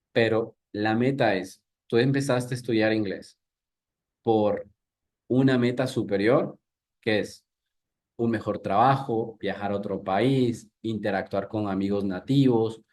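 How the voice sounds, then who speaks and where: A man's voice: fairly low pitch, unhurried, darkish tone. A man in his thirties from Ecuador, an English teacher giving a live workshop in a conversational way, with frequent restarts and questions to the audience. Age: 30s.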